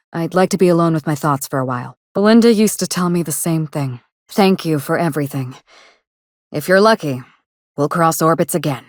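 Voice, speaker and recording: A low, closely recorded, dry-sounding voice for a video game: a proud actress in mourning. She speaks in measured, formal phrases, wistful yet wry, with a quietly ruthless streak. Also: no room sound. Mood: despairing, reflective, then subdued, grateful. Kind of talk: video game dialogue acting.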